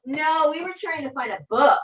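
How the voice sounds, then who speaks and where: A woman's voice: high voice, lively intonation, normal speed, a bit bright, highs cut off. A woman hosting an art tutorial, chatting casually in a rambling way and muttering to herself as she works. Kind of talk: casual chat while painting.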